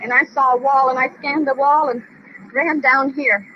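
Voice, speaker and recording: A woman's voice: high-pitched, fairly even intonation, moderate pace. An American woman in her forties, agitated and distressed, giving a rambling, repetitive, pleading account. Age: 40s. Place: gas station, outdoors. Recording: dull, noisy old outdoor field recording.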